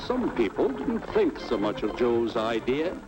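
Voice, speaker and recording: American everyman citizen, animated intonation, folksy, emphatic, 1950s cartoon narration, music behind. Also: mid-range voice, unhurried, poor audio. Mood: folksy, persuasive. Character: plain-spoken, level-headed everyman citizen, folksy storyteller. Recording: vintage film soundtrack, music behind.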